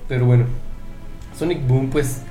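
A man's voice: mid-range voice, steady tone, measured pace, warm tone, faint music behind, poor audio. A man with a Mexican accent co-hosting a podcast, rambling in slangy, easily amused casual talk. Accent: Mexican Spanish. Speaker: podcast co-host. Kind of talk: casual chat.

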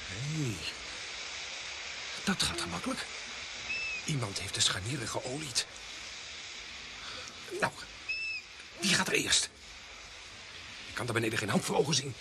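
An actor playing a grumbling, joking police constable in a radio play, wry and sceptical. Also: male voice, mid-range voice, very animated, measured pace, long pauses. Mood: curious. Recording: very noisy radio drama recording.

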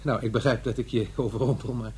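A man's voice: mid-range voice, steady tone, fast talker, a little mellow, natural voice. An actor playing a regretful, lonely middle-aged divorced father in a radio play, speaking hesitantly and searching for words. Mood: awkward, tentative. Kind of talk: Dutch radio drama acting. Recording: noisy, humming radio play recording.

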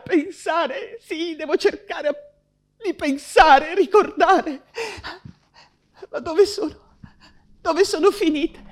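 High-pitched, anguished woman writer. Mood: feverish, desperate. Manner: halting, repeats words and phrases, fraught. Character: anguished persecuted woman writer, feverish mother in wartime deportation. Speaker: stage actress. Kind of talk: theatrical radio monologue, intense stage acting.

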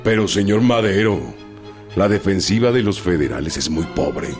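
Really low voiced mexican rebel colonel, blunt and folksy, radio drama with loud music behind. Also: male voice, expressive, moderate pace. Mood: frank, insistent. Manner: frank, folksy.

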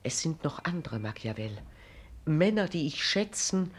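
A woman's voice: deep voice, sing-song, a little slow, slightly rough, full character voice. An actress playing a troubled, proud noblewoman regent in a 1940s German radio play, with formal, declamatory diction. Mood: aggrieved, worried.

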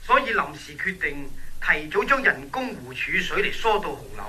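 Chief engineer: worried, despairing, Hong Kong Cantonese, forceful and raspy, highish pitch, radio drama. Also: male voice, very animated, moderate pace, exaggerated voice, poor audio. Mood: grave, despairing.

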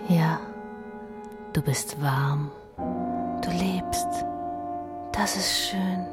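An actress playing a melancholy woman of the underworld, hushed and dreamy, often slipping into whispers in a radio drama. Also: lowish voice, very slow. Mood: detached, gentle. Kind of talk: literary radio drama acting. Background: prominent piano music.

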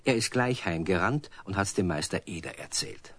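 A man's voice: low voice, moderate pace, a little hiss or hum, close to an everyday voice. A man narrating a children's radio play as a storyteller, addressing young listeners in a friendly way. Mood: explaining.

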